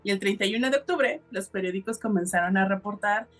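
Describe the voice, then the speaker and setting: A woman's voice: mid-range voice, quick pace, soft background music. A woman with a Mexican accent hosting a podcast, telling a story in a casual, colloquial and explanatory way. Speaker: podcast host.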